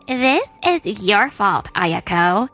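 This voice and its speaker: A woman's voice: mid-range voice, very animated, very slow, sharp tone, faint music behind, full character voice. A woman voicing a smug, spiteful young mistress in a dubbed manga drama. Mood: spiteful, accusing.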